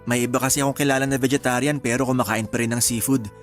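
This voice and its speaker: An actor playing an accommodating groom-to-be in a radio drama, relaxed and agreeable. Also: male voice, mid-range voice, fast, soft background music, natural voice. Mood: easygoing. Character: easygoing groom-to-be, accommodating fiancé.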